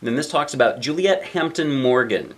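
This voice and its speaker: A man's voice: medium pitch, animated, moderate pace. A man reading a picture book aloud as a dad would, expressively, with casual asides to children and weighty emphasis on key lines.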